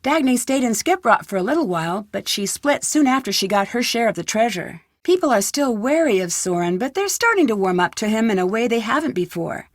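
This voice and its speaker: A woman's voice: a little high, big pitch swings, fairly quick, hardly any pauses, dry recording, studio quality. A woman playing a young, inquisitive American detective in a video game, speaking in an earnest, conversational way with an American accent. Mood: reflective, upbeat. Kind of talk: video game voice acting, narrated letter and dialogue.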